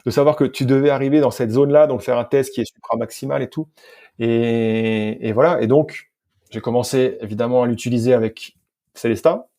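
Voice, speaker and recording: A man's voice: medium pitch, mellow. A man from France recounting his work casually as a podcast guest, in informal, colloquial speech with repeated words and self-corrections. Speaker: podcast guest, sports scientist and physical coach. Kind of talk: casual interview storytelling.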